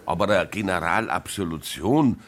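Deep bavarian stammtisch know-it-all, smug n suspicious, very lively intonation, radio drama. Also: male voice, normal speed. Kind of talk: German radio crime play dialogue.

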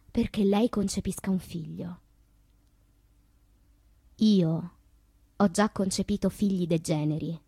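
An anguished female poet in a theatrical monologue. The voice is husky and recorded very dry, at a middle pitch. She declaims very slowly, leaving long pauses between phrases. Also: darkish tone, no echo. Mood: intense, musing. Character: anguished woman poet recalling loves, madness and death. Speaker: stage actress.

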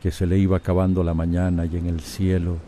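A man's voice: sits low, monotone, quick pace, mellow, slight background noise, natural voice. A man narrating a radio serial as a grave, reflective storyteller. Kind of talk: scripted dramatic narration.

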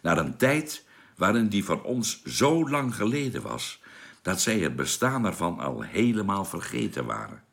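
Space crewman, deep voice, animated intonation, patient explainer, radio drama. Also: a little slow, gravelly, heavily acted. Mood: calm, certain. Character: knowing male space crewman explaining time travel.

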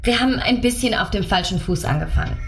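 A woman's voice: medium pitch, lively intonation, fast, creaky, faint music behind. An actress playing a wary, ambitious career woman in a radio drama, edgy at first, later cold and threatening. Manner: urgent, probing, then cold and threatening. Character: Freddy, woman in her career prime, suspicious and sharp. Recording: radio play with score beneath.

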